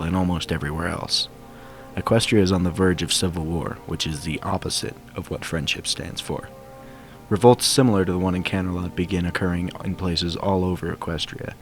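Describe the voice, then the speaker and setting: Male narrator, low pitch, scripted story reading, faint game soundtrack music behind. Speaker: fan fiction reader.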